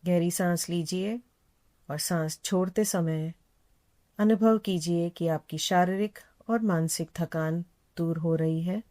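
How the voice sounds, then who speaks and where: A woman yoga instructor leading a guided meditation in a calm, unhurried, soothing manner, repeating phrases gently. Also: lowish voice.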